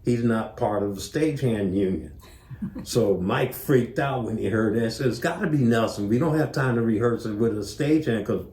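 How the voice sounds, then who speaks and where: A man's voice: mid-range voice, normal speed, darkish tone. An older man, an interview guest, telling stories casually and acting out remembered dialogue as he goes. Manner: acts out remembered dialogue as he narrates. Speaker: interview guest, former tour manager. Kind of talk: casual anecdotal storytelling. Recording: roomy, echoing interview sound.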